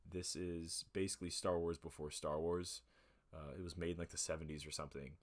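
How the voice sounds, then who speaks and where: A man in his twenties hosting a relaxed show, rambling casually through book reviews with lots of fillers. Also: low voice, brisk. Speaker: host of a music and talk show.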